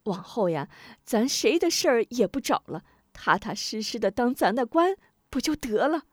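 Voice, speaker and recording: A woman voicing a frantic official's wife in a told story, stammering and pleading in a shrill, anxious way. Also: big pitch swings, normal speed, no echo, clean studio sound. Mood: coaxing.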